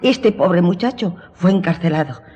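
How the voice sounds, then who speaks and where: A performer in a radio drama playing an innkeeper's sharp, grasping wife with a husky voice. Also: mid-range voice, lively intonation, moderate pace, in a large hall, dull audio, close to an everyday voice. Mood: pious storytelling.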